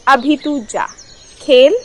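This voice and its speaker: A woman voicing a poor village mother who gently tells her son there is no money. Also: sits high, slow. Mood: gentle. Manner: soft, patient, reassuring.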